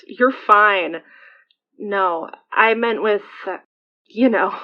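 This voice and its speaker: A woman's voice: medium pitch, very slow, bright, forceful tone, highs cut off. An actress playing a casual, teasing, supportive female friend in a naturalistic audio drama. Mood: casual, awkward.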